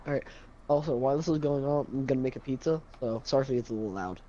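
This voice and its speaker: A young man chats casually between plays, rambling through "like" and "uh." His voice is soft and mellow. It comes through a dull, lo-fi mic with a faint hiss. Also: mid-range voice, racing. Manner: rambling, lots of "like" and "uh". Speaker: esports caster. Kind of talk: casual chat between casters.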